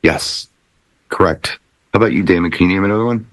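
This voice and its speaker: A man's voice: low-pitched, quick pace, fairly bright tone, no echo. A middle-aged man hosting a casual music panel chat with trivia questions. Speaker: host of a music talk show.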